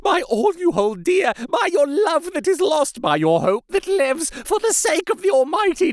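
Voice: very high-pitched, dry recording, studio quality, pitched above the performer's own voice, exaggerated voice. A reader voicing a desperate male asylum inmate who begs to be released, imploring and repeating his pleas. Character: frantic pleading male asylum inmate, desperate, later quietly polite. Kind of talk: audiobook character reading.

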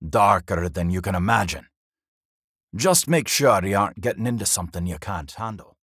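A man voicing a gruff, battle-worn, earthy dwarf warrior in a fantasy audiobook, growling and folksy. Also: sits low, animated, normal speed, a bit bright, dry recording, studio quality, heavily acted. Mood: grave, warning. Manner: folksy, says lassie and laddie.

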